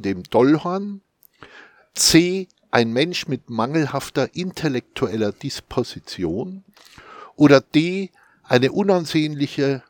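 Lively Franconian man, chatty. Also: mid-range voice, no echo, studio quality. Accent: Franconian German. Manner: animated, laughs, whispers asides. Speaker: quiz book co-author. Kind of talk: casual chat.

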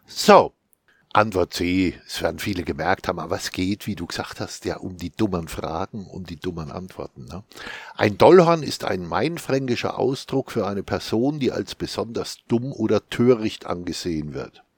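A man with a Franconian accent, co-author of a quiz book, chatting casually and reading quiz questions with lively emphasis. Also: low-pitched, normal speed, slightly rough, no room sound. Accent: Franconian German. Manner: animated, laughs, whispers asides. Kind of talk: casual chat.

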